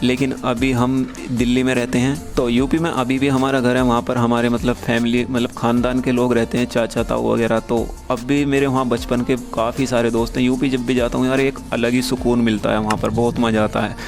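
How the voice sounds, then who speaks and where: Young man from uttar pradesh, chatty youtuber tone, flat even delivery, faint music behind. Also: mid-range voice, brisk, few pauses. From Uttar Pradesh, India. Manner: chatty, talks straight to viewers. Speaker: YouTuber giving chat tips. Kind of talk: casual screen-recording walkthrough.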